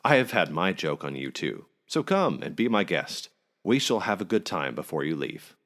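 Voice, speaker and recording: A man voicing a jovial king in a folk tale, giving brisk regal orders with a playful, teasing edge. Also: sits low, big pitch swings, a bit bright. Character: jovial, commanding male king, teasing and sly. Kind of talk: folk tale storybook reading.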